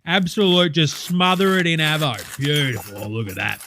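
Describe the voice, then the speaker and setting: A cheerful, foul-mouthed cooking show host with a strong Australian accent, as a man puts him on. His voice sits a touch high and comes across bright and forceful, all slang and banter aimed at a live audience. A faint noise runs under the recording. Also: sing-song, normal speed, heavily acted. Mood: instructive. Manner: crude, boisterous, slangy, playing to a studio audience. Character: brash blokey male TV cooking host, crude, cheerful. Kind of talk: comic sketch, cooking show parody.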